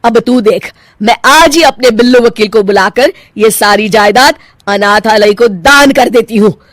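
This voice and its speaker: A woman voicing a bossy, sharp-tongued older mother-in-law in a cartoon, scolding and sarcastic. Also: on the high side, a little slow, dry recording, clean studio sound, close to an everyday voice. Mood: scolding, smug. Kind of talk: Hindi cartoon voice acting.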